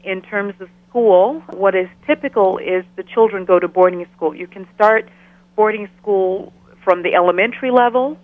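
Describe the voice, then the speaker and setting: A woman's voice: medium pitch, no room sound. Middle-aged woman on a tinny phone line. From Ghana. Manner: measured, thoughtful, with frequent restarts. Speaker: interview guest, biochemistry professor. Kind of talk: telephone interview. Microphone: telephone.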